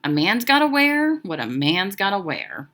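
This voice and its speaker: A woman narrates a children's book with very lively, swooping intonation. Her voice is bright and forward, and she tells the story in a dramatic, expressive way. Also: mid-range voice, normal speed. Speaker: read-aloud narrator.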